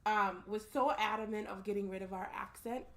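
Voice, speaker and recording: A woman in her thirties, from Somalia, a podcast guest telling her story in a measured, calm way. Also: medium pitch. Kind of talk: casual interview chat.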